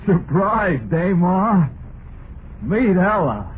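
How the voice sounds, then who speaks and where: Thin vintage radio play, eager naive young husband, folksy, highish voice, unhurried delivery. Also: male voice, very animated, narrow, tinny audio, full character voice. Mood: excited, proud. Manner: folksy, boyish, simple-hearted talk. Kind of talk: vintage radio drama acting. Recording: noisy, band-limited vintage radio recording.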